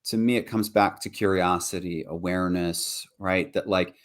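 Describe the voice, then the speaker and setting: Podcast co-host, man, very deep low voice, earnest and reflective, conversational delivery. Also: fairly even intonation, moderate pace. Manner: earnest, reflective, frequent "right?" and "like". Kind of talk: casual earnest discussion.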